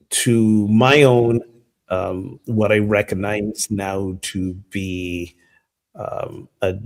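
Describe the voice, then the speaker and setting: A man from Barbados, a minister giving a relaxed, conversational sermon full of fillers over a video call. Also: on the low side. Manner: relaxed and chatty, many fillers. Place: home abroad.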